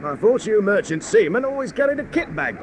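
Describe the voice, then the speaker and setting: An actor playing a bossy, dismissive British army captain in a radio drama. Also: male voice, high-pitched, big pitch swings, fairly quick, slight background noise. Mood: probing, bluff. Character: brusque, commanding British army captain on a manhunt.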